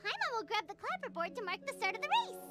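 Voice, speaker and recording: A woman voicing a tiny floating fairy companion in an anime-style game, with a high squeaky cartoonish voice. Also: sing-song, quick pace. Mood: chirpy. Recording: game audio under music.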